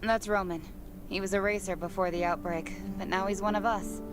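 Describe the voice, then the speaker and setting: An actress playing a composed female rescue leader in a game, giving practical mission instructions in a brisk, matter-of-fact way. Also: mid-range voice, steady tone, natural voice. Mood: businesslike. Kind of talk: game cutscene voice acting.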